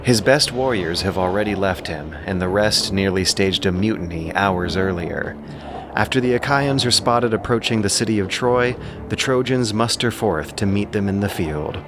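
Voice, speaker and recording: A man's voice: on the low side, expressive, moderate pace, background noise. A man narrating an epic audiobook in a solemn, poetic, dramatic style. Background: sound effects of outdoor ambience.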